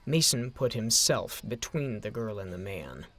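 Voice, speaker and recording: A man's voice: fairly low pitch, animated, a little slow, fairly bright tone. A man narrating a scripted story as an audio drama narrator.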